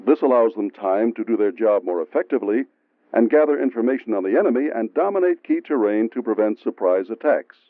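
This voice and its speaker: A man giving steady, measured scripted narration for a military training film. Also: lowish voice, no echo. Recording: tinny phone-like sound from an old training film, faint music.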